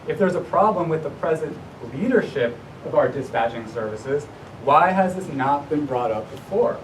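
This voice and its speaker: Male resident for formal, earnest public-comment speech; deliberate emphasis, recorded at a distance with rumbling background noise. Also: animated. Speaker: resident addressing a town council. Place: indoor public council meeting. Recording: distant mic, noisy room with low rumble.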